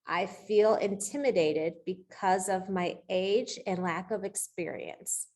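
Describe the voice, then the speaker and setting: A middle-aged woman leads a coaching session in a conversational voice of ordinary pitch. She is encouraging and explains things informally, often stopping to check in with a quick rhetorical question. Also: darkish tone. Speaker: real estate coach leading a coaching session.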